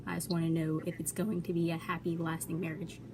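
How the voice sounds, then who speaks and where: Low-pitched young woman chatting casually. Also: level tone, fast talker, very noisy. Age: young adult. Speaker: client asking questions, vlogger. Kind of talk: casual chat.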